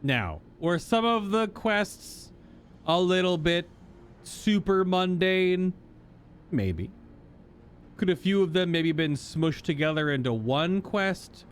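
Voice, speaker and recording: A man streaming a game with casual live commentary, thinking aloud in a drawn-out, emphatic way. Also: high-pitched, lively intonation, a little hiss or hum.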